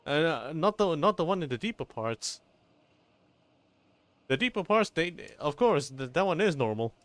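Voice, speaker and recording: A man's voice: on the high side, very animated, moderate pace, a bit bright, no room sound. A man streaming a game, reacting casually with profane, rambling, stop-start comments. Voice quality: rambling, profane, stammering restarts.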